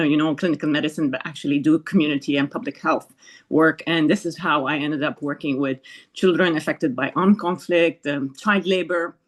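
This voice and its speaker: A woman from Ghana, a school director, introducing herself on a video call in an earnest, reflective way. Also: deep voice. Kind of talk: panel self-introduction.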